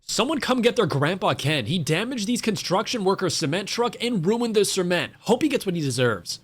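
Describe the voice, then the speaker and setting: A man's voice: high voice, big pitch swings, brisk, noisy background. A man narrating a compilation video with sarcastic, mocking commentary. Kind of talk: scripted commentary voice-over.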